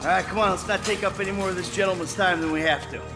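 High-strung, bossy American heist leader. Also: male voice, fairly even intonation. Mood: businesslike. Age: middle-aged. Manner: brisk, bossy, profane. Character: middle-aged male heist leader, commanding, hot-tempered but pragmatic. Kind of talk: video game cutscene acting. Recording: loud music and vehicle rumble behind.

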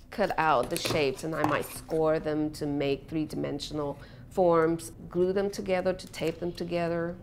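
A woman from Taiwan, an artist talking reflectively about her own work in a documentary interview. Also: fairly low pitch. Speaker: visual artist.

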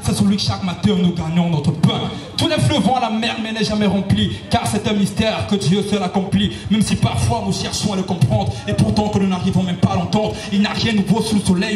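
Young male gospel rapper, high shouted delivery, live concert audio with music behind. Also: fairly even intonation, fairly quick, few pauses, echoey room, recorded from a distance. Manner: shouted, rhythmic rap delivery with crowd call-outs. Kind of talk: live gospel rap performance. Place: outdoor night concert stage. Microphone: handheld mic. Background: backing music.

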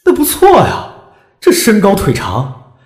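A woman's voice: medium pitch, huge pitch swings, measured pace, a little mellow, roomy sound. An actress playing a curious, starry-eyed female gossip fan in an audio drama, eager and exclamatory. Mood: impressed.

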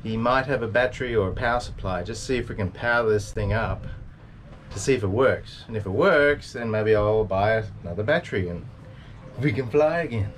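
A man's voice: lowish voice, a little fast, slight background noise. A man in his forties talking casually to camera as a sailing vlogger on a boat.

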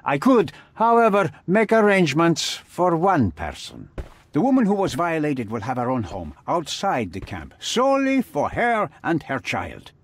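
A smug, scheming businessman from a video game. He is middle-aged and bargains with smooth theatrical flair. His husky voice sits slightly high and swings widely in pitch. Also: wildly swooping pitch, measured pace, full character voice. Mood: smug bargaining. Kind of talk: video game cutscene acting.

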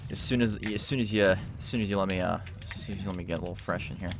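A young man hosting a gaming video, teasing his friend with loud, laughing trash talk as they play. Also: low-pitched, very fast. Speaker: gaming channel host. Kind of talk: casual gameplay banter. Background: game music.

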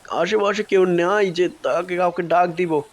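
Superhero rescuer, kids' cartoon, male voice actor: well-meaning, flustered, repetitive, hurried reassurance. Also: high voice, fairly even intonation. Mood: flustered, reassuring. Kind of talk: children's superhero cartoon voice acting.

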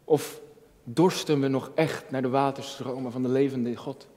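Mellow young male preacher in a reverberant hall. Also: medium pitch, steady tone, moderate pace. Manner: earnest, repeats phrases for emphasis, rhetorical questions. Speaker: junior pastor. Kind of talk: sermon, personal and reflective. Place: indoor church stage at a lectern, filmed from a distance.

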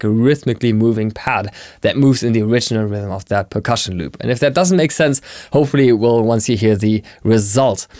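A man's voice: mid-range voice, darkish tone, no room sound. Man hosting a tutorial, dry studio sound. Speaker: tutorial host. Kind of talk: explanatory software tutorial, conversational. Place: small home studio room, indoors.

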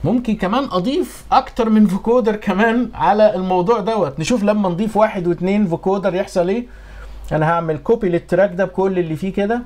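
A middle-aged man with an Egyptian accent giving a casual software tutorial as an audio engineering instructor in a small home studio. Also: high voice, very fast.

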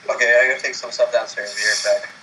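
A man's voice: mid-range voice, steady tone, quick pace, bright, forceful tone, a little hiss or hum, low-quality recording. A teenage male gamer chatting casually while playing, stammering and joking. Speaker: game player. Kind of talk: casual gaming chat.

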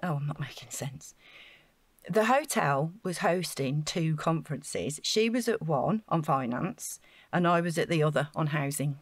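A woman's voice: low-pitched, lively intonation, unhurried, no echo. An actress playing an earnest, naive council housing officer in an audio drama, chatty and flustered as she over-explains. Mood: explaining.

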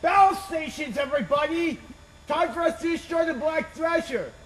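A man acting an excitable, mischievous hero in an amateur comedy short, exclaiming theatrically over noisy sound. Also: very high voice, normal speed.

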